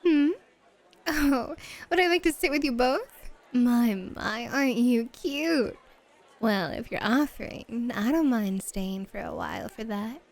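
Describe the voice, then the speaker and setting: A woman playing a flirty, teasing bunny-club hostess in an ASMR roleplay, with coy, drawn-out phrasing. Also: fairly high pitch, sing-song, a little mellow, a faint crowd.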